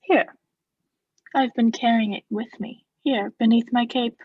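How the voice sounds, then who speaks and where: A woman acting a coolly scheming aristocrat in a radio play, formal and measured, feigning friendly concern. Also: on the high side, steady tone, slightly rough. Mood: sly, courteous. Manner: formal, measured, slyly solicitous. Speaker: student actor in a radio play. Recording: echoey, slightly muffled recording.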